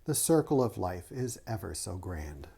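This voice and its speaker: Man, children's read-aloud, author and art teacher, soft-edged warm tone, animated intonation, rhythmic teacherly delivery. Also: low voice, moderate pace, gravelly, slightly rough. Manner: rhythmic reading of rhyming verse, teacherly asides. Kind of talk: children's picture-book read-aloud with short explanations.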